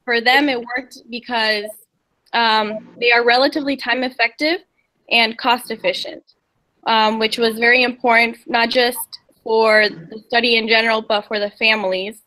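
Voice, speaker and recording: A woman's voice: a little high, steady tone, moderate pace, sharp tone, poor audio. A young woman from Indiana giving a class presentation, summarizing research articles hesitantly from her notes. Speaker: student presenter.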